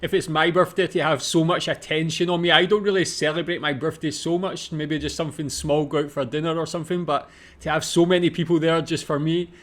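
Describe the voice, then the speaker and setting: A man's voice: fairly high pitch, level tone, a little fast, fairly bright tone. A man with a Scottish accent giving casual, musing off-the-cuff commentary as host of a reaction video. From Scotland.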